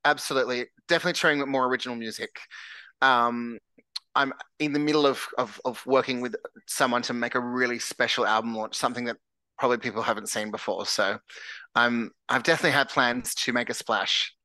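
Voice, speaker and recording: An Australian man speaks as an interview guest in a frank, earnest, easygoing manner. His voice is bright and pushed forward. The sound is close and dry, with no room around it. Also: medium pitch, steady tone, brisk, dry recording, rough recording. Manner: earnest, candid, self-described larrikin. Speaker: singer-songwriter guest. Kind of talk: casual interview chat.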